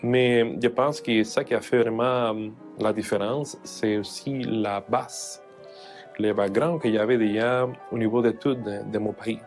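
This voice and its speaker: A man's voice: lowish voice, fairly even intonation, a little slow, darkish tone, faint music behind. A man from Cuba, a jazz pianist, speaking French as an in an interview, hesitant with many fillers and restarts. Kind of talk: interview testimony.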